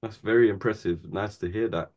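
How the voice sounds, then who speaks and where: A man's voice: fairly low pitch, a little fast. A man hosting a casual talk show, easygoing and informal. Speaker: host. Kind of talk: casual chat.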